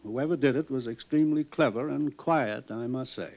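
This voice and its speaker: An actor playing a wealthy, courteous mansion owner in a 1950s radio drama, speaking smoothly and guardedly. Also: male voice, mid-range voice, moderate pace. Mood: polite. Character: wealthy polished mansion owner, male, middle-aged. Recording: dull old radio transcription.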